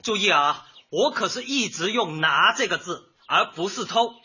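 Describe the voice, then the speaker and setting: A man's voice: high-pitched, lively intonation, moderate pace, pushed and bright, husky, heavily acted. A male actor playing an agitated, insistent young man in a comic radio drama, wheedling and accusing. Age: young adult. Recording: dull lo-fi audio.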